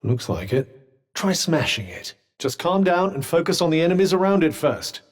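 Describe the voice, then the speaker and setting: A man's voice: fairly high pitch, big pitch swings, normal speed. An actor voicing a sentient magical cuff in a video game, a dry, witty and protective male companion with a British accent and a formal, well-spoken, advising manner. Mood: calm, dry. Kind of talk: scripted game dialogue. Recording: long reverberant tail on voice.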